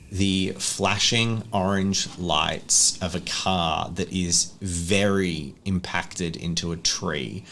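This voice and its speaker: Deep-voiced man running a tabletop game. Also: a bit bright, slight background noise. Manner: descriptive, conversational. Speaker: game master. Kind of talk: narrating a tabletop roleplaying game.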